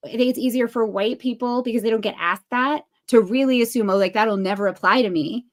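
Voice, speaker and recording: A woman with a fairly high voice talks through her ideas on a casual podcast. Her delivery is reflective and searching, and she often restarts a thought mid-sentence. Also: fairly quick. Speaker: podcast co-host. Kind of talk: casual conversation.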